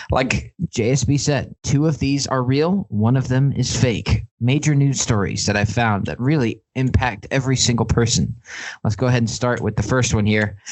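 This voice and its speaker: Man, podcast co-host, average pitch, casual talk, presenting game segment. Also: low-quality recording.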